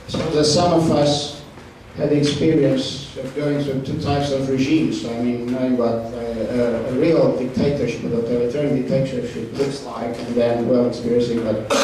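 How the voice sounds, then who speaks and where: A man speaking on a discussion panel, halting, with many fillers and restarts. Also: mid-range voice, steady tone, a little mellow. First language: Romanian. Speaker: panel participant. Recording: echoey hall, distant mic, faint noise.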